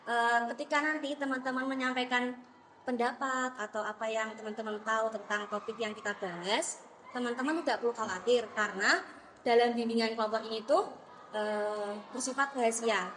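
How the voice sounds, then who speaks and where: A woman's voice: a little high, steady tone, normal speed, a bit bright, slight background noise, low-quality recording. A young woman, a counseling student, leading a group guidance session in a classroom, friendly and encouraging with many fillers. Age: young adult.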